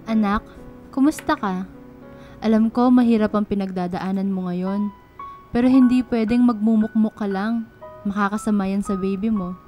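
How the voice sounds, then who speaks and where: A woman's voice: medium pitch, fairly even intonation, moderate pace, pauses often, dull audio, close to an everyday voice. A woman playing a protective, loving, humble mother in a radio drama. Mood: tender, reassuring. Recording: music bed behind the voice.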